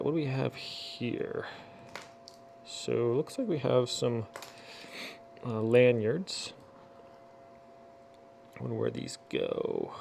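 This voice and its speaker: Casual creaky-voiced man doing an unboxing. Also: medium pitch, a little mellow, a little hiss or hum. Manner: folksy, muttering to himself as he works. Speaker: unboxing video host. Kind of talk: casual product unboxing chat.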